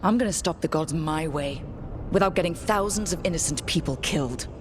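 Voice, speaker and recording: An actor plays a resolute leader of a fantasy game team. The delivery is direct and challenging, medium in pitch, with noticeable ambience behind the voice. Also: brisk, background noise, natural voice. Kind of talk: naturalistic video game acting.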